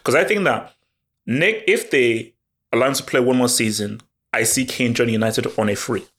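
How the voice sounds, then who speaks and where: A man co-hosting a casual football podcast, talking in an animated way and repeating words when he gets excited. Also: mid-range voice, normal speed. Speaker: podcast co-host.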